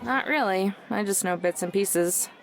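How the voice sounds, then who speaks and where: A woman's voice: mid-range voice, moderate pace, some chatter behind, a little hiss or hum, close to an everyday voice, poor audio. A woman playing a reserved, wry, modest young road-tripper in a visual novel, with a dry delivery.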